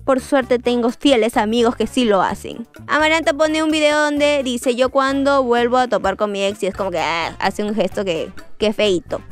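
A woman's voice: fairly high pitch, normal speed, few pauses. A woman hosting a gossip show, narrating in a chatty, teasing way. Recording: voice-over with light background music.

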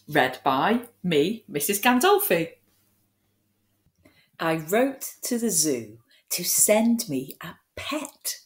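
A woman in her forties reads a picture book to young children as a teacher would, expressive and playful, with dramatic pauses and hushed asides, recorded in a room at home. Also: on the low side. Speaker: primary school teacher reading a picture book. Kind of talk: animated children's story reading. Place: small room at home, indoors.

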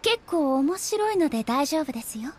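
A woman playing a composed, dignified ruler in an anime game, speaking formally and serenely in measured, polite phrases. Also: high voice, big pitch swings, a little hiss or hum. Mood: composed, thoughtful. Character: composed, dignified female ruler of an island nation. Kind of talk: anime video game voice acting. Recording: game music behind the voice.

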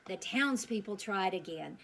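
A middle-aged woman reading a children's storybook aloud outdoors, with expressive, deliberate, theatrical emphasis. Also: medium pitch. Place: outdoors on a porch swing.

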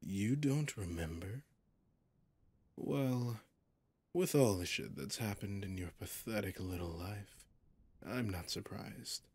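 A man playing a possessive, mocking male demon in an ASMR roleplay, seductive and sinister, with a breathy, often whispering, teasing drawl. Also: on the low side, takes it slowly, pauses often, a little mellow, no echo, heavily acted. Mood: teasing menace.

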